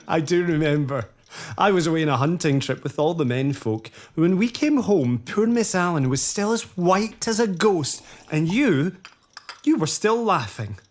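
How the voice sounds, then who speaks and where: An actor playing a gentle, indulgent gentleman husband in a period audio drama. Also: fairly high pitch, lively intonation, unhurried. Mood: fond. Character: easygoing gentleman husband of the lady.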